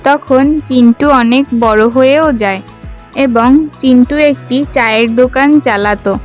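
A woman's voice: a little high, normal speed, faint music behind. A woman narrating a scripted children's story as a storyteller.

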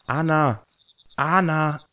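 A man's voice: fairly high pitch, extremely slow, pushed and bright, full character voice. A man playing a loving, credulous village father in a fantasy audio drama. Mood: anxious, calling.

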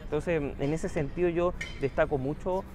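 A man's voice: on the high side, fairly even intonation, normal speed, a little mellow, faint music behind. A young man, a small business owner answering interview questions outdoors, earnest and hesitant with many fillers. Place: outdoor town plaza.

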